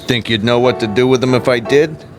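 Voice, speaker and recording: An actor playing a gruff American owner of a losing ball club in a radio drama, blunt and sarcastic. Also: male voice, mid-range voice, fairly quick, over music, natural voice. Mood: scornful.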